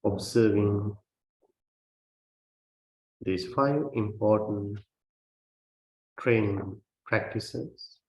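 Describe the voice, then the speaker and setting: A man who is a Buddhist meditation teacher, guiding a meditation in a gentle, measured way with long pauses between phrases. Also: fairly low pitch, muffled recording. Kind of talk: guided meditation. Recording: roomy, reverberant sound.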